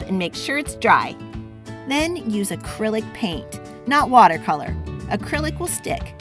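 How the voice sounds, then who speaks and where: A woman hosts a children's read-aloud in an expressive storytelling style. Her voice is a bit high and swings widely, and she takes her time with dramatic phrasing at a very unhurried pace. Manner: animated, deliberate, dramatic phrasing.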